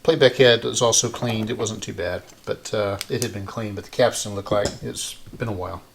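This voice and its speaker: A man with a low-pitched, creaky voice talks through hands-on repair work. He speaks casually and without a script, thinking aloud as he goes. A little noise sits behind him. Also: normal speed. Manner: unscripted, thinking aloud while working. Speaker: repair channel host.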